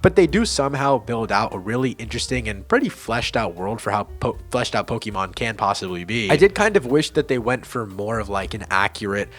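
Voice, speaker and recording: A man's voice: lowish voice, lively intonation, a little fast, hardly any pauses, a bit bright. A man hosting a casual ranking video, giving blunt opinions in informal, slangy language over background music. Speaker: video host and amateur film reviewer.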